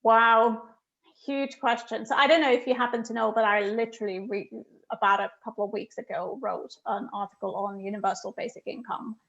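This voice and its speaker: A woman's voice: fairly high pitch, steady tone, fairly quick, gravelly, fairly bright tone, dull audio. A middle-aged woman from Finland, a guest economist answering questions in an online talk, speaking emphatically and thoughtfully with frequent "you know" fillers.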